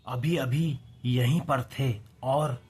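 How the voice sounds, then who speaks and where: Man acting out an excitable, melodramatic male scientist. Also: mid-range voice, takes it slowly, slightly rough, faint music behind. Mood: absorbed, fretful. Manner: exclamatory, melodramatic. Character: excitable, devoted male wildlife scientist, indignant and anguished. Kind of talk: dramatized audio adventure story.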